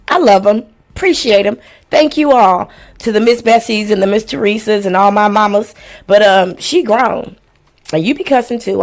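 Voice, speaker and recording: A woman in her forties hosting a casual livestream, chatting in a blunt, playful, slangy way and swearing freely. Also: on the high side. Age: 40s. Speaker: livestream host. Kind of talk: casual livestream chat with house rules and shout-outs.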